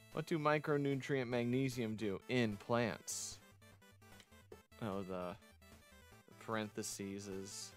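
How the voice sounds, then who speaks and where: A man casually reading a visual novel aloud as he streams it, rambling off into chatty asides. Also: fairly low pitch. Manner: rambling, chatty asides between read lines. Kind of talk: casual game playthrough commentary with read-aloud dialogue.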